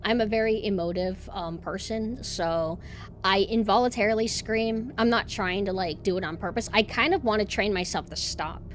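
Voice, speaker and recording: Monotone woman streamer chatting. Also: medium pitch, normal speed, slight background noise. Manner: rambling, self-deprecating, many fillers and restarts. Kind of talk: casual chat.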